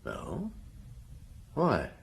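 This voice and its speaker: An actor playing a patient, probing police detective in a radio crime drama, polite and persistent in his questioning. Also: very slow. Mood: probing, calm.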